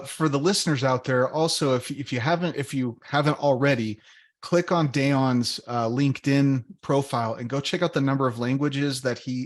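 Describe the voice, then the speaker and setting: A man hosting a sales podcast, friendly and laid-back, with repeats and restarts. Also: mid-range voice. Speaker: podcast host.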